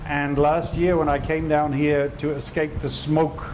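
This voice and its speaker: A man's voice: fairly high pitch, little pitch movement, unhurried, background noise. A man hosting a casual outdoor interview, rambling and correcting himself as he sets up friendly questions. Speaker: interviewer and channel host. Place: beach outdoors.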